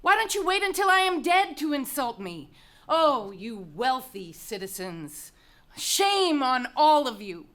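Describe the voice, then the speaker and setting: Young condemned princess, high voice, proud and anguished, declaiming Greek tragedy on stage. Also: female voice, very animated, unhurried, a bit bright, exaggerated voice. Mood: bitter, indignant. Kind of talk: dramatic reading of Greek tragedy.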